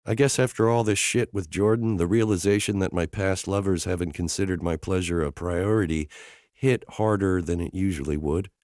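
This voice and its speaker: A man voicing an emotional young woman in an audiobook, speaking in a higher, softer voice. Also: fairly even intonation, normal speed, no room sound, studio quality, natural voice. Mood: self-doubting. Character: emotional young woman, tearful and self-doubting. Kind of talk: audiobook character dialogue.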